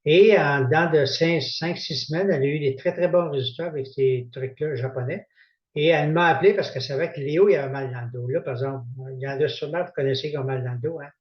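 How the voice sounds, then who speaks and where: Québécois host, casual and rambling, roomy far-off dull recording. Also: male voice, fairly high pitch, fast talker. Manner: informal, repetitive, many fillers. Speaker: event host. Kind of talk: casual hosting of an online meeting. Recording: echoey, distant, dull sound.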